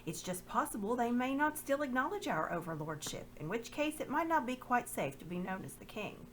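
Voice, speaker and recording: Woman reading as a calm young king. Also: fairly high pitch, fairly quick, slight background noise, pitched above the performer's own voice, rough recording.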